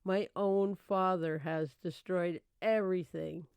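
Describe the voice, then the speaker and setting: A woman's voice: on the low side, steady tone, takes it slowly, a little mellow, smooth, no room sound. A woman playing a polite, earnest young woman down on her luck, speaking formally in an old-time radio drama. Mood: distraught. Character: polite, earnest young woman, newly destitute, seeking work.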